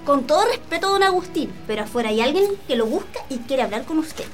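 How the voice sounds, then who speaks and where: An actress with a Chilean accent playing a flustered, deferential hacienda housekeeper in a radio drama, anxious and exclamatory. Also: female voice, high voice, expressive, fast talker, soft background music. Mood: timid. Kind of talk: Spanish-language radio drama acting.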